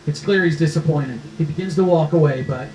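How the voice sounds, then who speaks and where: A man's voice: a little high, a little fast, warm tone. A man reading the scripted action and stage directions aloud as narrator at a screenplay table read. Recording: echoey room with faint noise.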